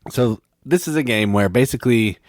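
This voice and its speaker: A man's voice: mid-range voice, brisk, darkish tone, dry recording, clean studio sound. A man co-hosting a podcast, explaining game rules in casual chat, rambling and correcting himself. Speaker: podcast co-host.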